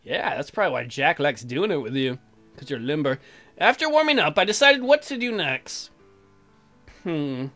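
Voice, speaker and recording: A man with a bright, high-pitched voice gives casual gaming commentary. He is crude and sarcastic, swinging widely in pitch, with music playing behind him. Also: sing-song, normal speed. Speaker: gaming video host. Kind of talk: casual let's play commentary, reading game text aloud. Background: game music.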